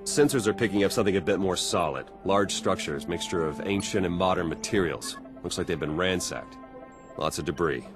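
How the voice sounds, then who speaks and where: Man as a deep, blunt commander. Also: fairly quick, over music, natural voice. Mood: businesslike. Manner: dry, clipped, no-nonsense. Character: pragmatic, blunt male military commander. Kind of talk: video game voice acting.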